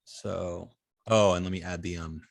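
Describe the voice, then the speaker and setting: A man's voice: low-pitched, steady tone, measured pace, creaky, no echo. A man teaching an informal hands-on coding lesson, thinking aloud hesitantly and trailing off mid-sentence. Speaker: web design instructor.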